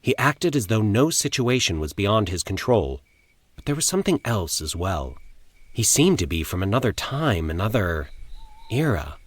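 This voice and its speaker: A man reading a scripted first-person horror story in a formal, literary, measured manner. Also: fairly low pitch, very animated, no room sound. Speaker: narrator of horror fiction.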